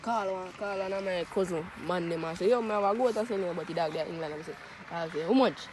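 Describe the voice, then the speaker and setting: High-voiced young Jamaican man swapping stories. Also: fast, a little mellow, a little hiss or hum. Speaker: storyteller in a group of friends. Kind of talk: casual group storytelling.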